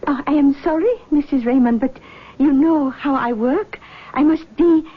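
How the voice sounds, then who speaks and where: An actress playing a solemn, mysterious female spirit medium in a radio drama, with halting, theatrical phrasing. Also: sits high, close to an everyday voice. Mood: composed, commanding. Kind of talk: 1970s radio drama acting. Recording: muffled old radio recording.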